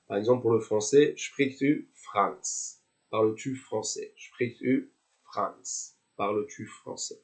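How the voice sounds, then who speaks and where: Slow, low-voiced French man teaching phrases. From France. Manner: repeats each phrase slowly and deliberately. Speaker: language tutor. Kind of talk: slow, patient language lesson.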